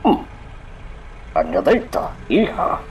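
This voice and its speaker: A man's voice: mid-range voice, huge pitch swings, slow pace, a little mellow, slight background noise, rough recording. A man acting a domineering, wealthy older godfather and mansion master in an Ilocano radio drama, authoritative, with a Filipino accent. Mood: evasive.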